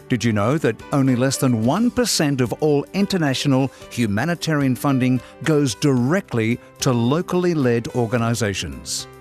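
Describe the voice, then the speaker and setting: Measured male podcast co-host over music. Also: mid-range voice, a little mellow. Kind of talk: scripted podcast introduction and interview.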